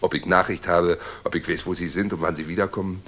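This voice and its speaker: A loyal, joking military orderly in a sixties radio play. The voice is fairly low and husky. The talk is breezy and cheeky, dressed up in mock deference. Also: male voice, fairly even intonation, fast talker, a bit bright. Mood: teasing. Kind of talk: 1960s German radio drama acting.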